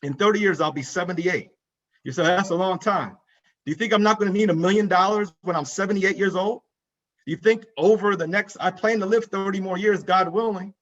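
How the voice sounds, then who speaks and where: A man's voice: high-pitched, fairly quick, fairly bright tone, highs cut off. A man in his forties, a financial advisor giving an emphatic conference talk, repeating key points and speaking straight to the audience. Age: 40s. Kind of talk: conference presentation. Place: conference room.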